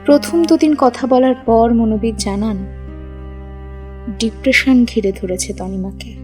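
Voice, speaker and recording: A woman's voice: on the high side, a little slow, a little mellow. A woman reading a scripted audio story as its narrator. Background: background music.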